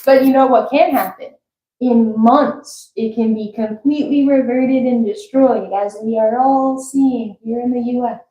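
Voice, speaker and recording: Woman, blunt co-host, mellow tone, echoey room, faint noise. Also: a little high, steady tone, unhurried. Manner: blunt, wry. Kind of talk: casual livestream talk.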